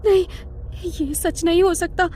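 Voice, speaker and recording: Woman acting a distressed young woman, extremely high-pitched. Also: steady tone, unhurried, dark tone, slight background noise. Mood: terrified disbelief. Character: frightened, distressed young woman in a horror story.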